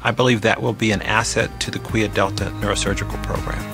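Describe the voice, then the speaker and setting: A man speaking as a neurosurgeon, giving formal, measured explanations in interview remarks for a newsreel. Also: on the low side, steady tone, loud music behind the voice.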